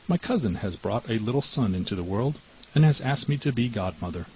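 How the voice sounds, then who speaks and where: A man plays a sly, greedy female cat from a storybook. The cat talks smoothly and coolly, with deceit under every line and a very lively pitch. There is some noise in the recording. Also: fairly quick, natural voice, poor audio. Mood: coaxing. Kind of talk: storybook character voice.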